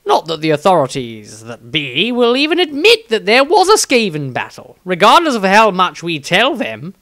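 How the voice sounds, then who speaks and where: A man voicing an excitable, cynical male adventurer musing theatrically in a storybook diary. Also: high-pitched, moderate pace, no room sound, clean studio sound, pitched above the performer's own voice, heavily acted. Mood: musing, dramatic. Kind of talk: dramatic storybook reading.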